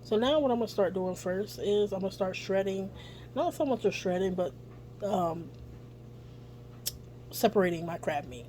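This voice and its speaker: A woman's voice: medium pitch, animated, moderate pace, long pauses, mellow. A woman with a scratchy voice, chatting casually through a home cooking vlog with lots of ums, filmed on a phone in her kitchen. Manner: chatty, frequent ums and throat clearing. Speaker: family vlogger. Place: home kitchen with dryer running. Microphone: phone camera, later on a mount.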